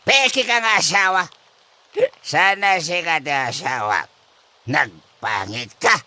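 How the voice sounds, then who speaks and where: A man's voice: a little high, animated, slow pace, sharp tone, full character voice. A man playing a drunken, confused old husband in a comedy drama, stubborn and amorous, slurring and wailing theatrically. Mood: indignant, dismissive. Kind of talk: comedy audio drama acting.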